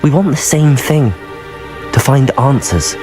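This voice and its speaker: A cautious teenage wizard student, played by a young man in a video game. He sounds earnest and measured yet moves expressively in pitch, with soft music in the background. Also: lively intonation, lightly acted. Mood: firm, pleading.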